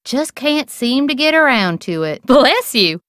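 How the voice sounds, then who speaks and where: A small-town bait shop keeper in a video game. She has a rural American accent and a smooth, clear voice, recorded dry. Her delivery is folksy and gossipy, full of wry, opinionated drawl. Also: high-pitched, big pitch swings, unhurried, a bit bright, no room sound, full character voice. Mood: folksy, chatty. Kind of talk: video game character dialogue.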